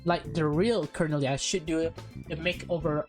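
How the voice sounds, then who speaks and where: A woman's voice: low voice, fairly even intonation, normal speed, music in the background. A young woman reading a visual novel aloud, adding casual, chatty asides between the lines. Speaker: game streamer.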